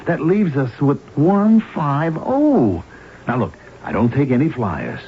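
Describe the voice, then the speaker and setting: An actor playing a wheedling American husband, a gambler in debt, pleading like a hustler in a 1970s radio drama. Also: male voice, fairly high pitch, wildly swooping pitch, full character voice. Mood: desperate, pleading. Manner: pleading, fast-talking hustler. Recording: noisy, dull vintage radio broadcast.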